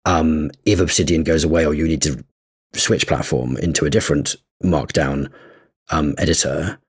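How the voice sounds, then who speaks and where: Very deep, husky man in casual chat. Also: steady tone, moderate pace. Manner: thoughtful, agreeable, many short affirmations. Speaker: podcast co-host.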